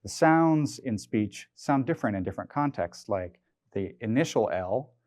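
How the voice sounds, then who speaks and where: A man's voice: lowish voice, animated, normal speed, darkish tone, dry recording. Middle-aged man, dry studio sound. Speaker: research scientist, presenter. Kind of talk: prepared technical presentation. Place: indoor studio stage. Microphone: clip-on lapel mic.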